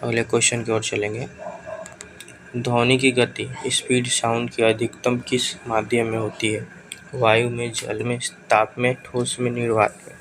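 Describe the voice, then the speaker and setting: Gravelly man with a sore throat, flat delivery. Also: medium pitch, noisy background. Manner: brisk, urges viewers to answer quickly. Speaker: teacher. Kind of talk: quiz-style exam prep lesson.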